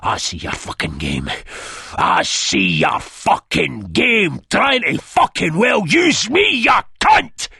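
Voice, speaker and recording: A man's voice: high-pitched, wildly swooping pitch, slow pace, gravelly, pushed and bright, husky, dry recording, studio quality, pitched above the performer's own voice, full character voice. A man playing a violent, menacing Scottish hard man in an audiobook, strained and spitting, swearing with explosive aggression. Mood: angry accusation. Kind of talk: dramatised audiobook acting.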